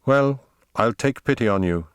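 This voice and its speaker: Man acting a condescending, domineering lonely master, pitched up. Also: expressive, measured pace, clean tone, no echo, clean studio sound, natural voice. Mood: pitying, melancholy. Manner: condescending, commanding, theatrical. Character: lonely domineering man, patronizing, menacing, maudlin with his dog.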